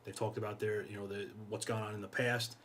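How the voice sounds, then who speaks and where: A man's voice: fairly low pitch, little pitch movement, fast talker, fairly bright tone. A middle-aged American man speaking casually and earnestly as an interview guest, repeating phrases for emphasis. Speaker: guest, fire chief. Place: podcast studio, indoors. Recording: clear background noise, room ambience.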